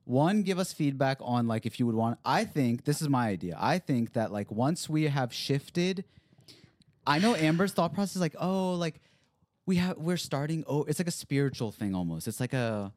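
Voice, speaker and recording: A man co-hosting a podcast, chatting casually and teasing his friends with quick laughs. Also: medium pitch, lively intonation, a little mellow, dry recording. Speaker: podcast co-host. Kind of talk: casual chat.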